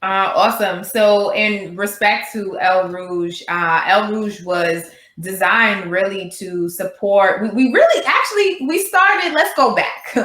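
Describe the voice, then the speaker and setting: A woman from Queens, a business co-founder chatting as a podcast guest, lively and laughing as she talks. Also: medium pitch, takes it slowly, roomy sound. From Queens, New York. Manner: animated, laughs while talking. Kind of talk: casual chat.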